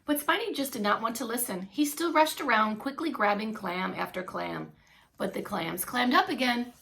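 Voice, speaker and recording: A woman reading a story aloud to young children as a preschool teacher, expressive, with playful asides. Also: fairly high pitch, moderate pace, vocal fry, slight background noise. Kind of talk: animated read-along children's storytelling.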